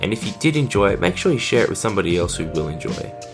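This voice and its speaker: A hoarse man with a cold, a podcast host giving an upbeat introduction and speaking directly to listeners. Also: lowish voice, big pitch swings, racing. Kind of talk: scripted show intro. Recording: music bed under voice.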